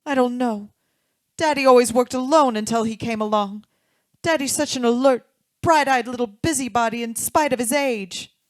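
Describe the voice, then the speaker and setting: A woman's voice: fairly high pitch, moderate pace, no echo, clean studio sound, lightly acted. A woman playing an anxious, well-off young married woman in an old-time radio drama, earnest and genteel. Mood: uneasy. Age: young adult.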